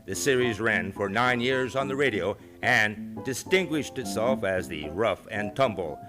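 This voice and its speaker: Guy announcer, old time radio show, grand and theatrical, lively pitch, guitar music clearly behind. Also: measured pace.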